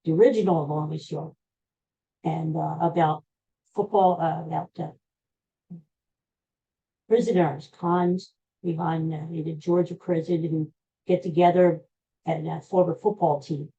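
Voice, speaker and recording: A woman's voice: sits low, steady tone, a little slow, pauses often, mellow. A middle-aged American woman hosting a podcast, chatting casually in a rambling, folksy, conversational way and trailing off now and then. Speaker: podcast host. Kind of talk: casual rambling solo chat. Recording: dull lo-fi recording.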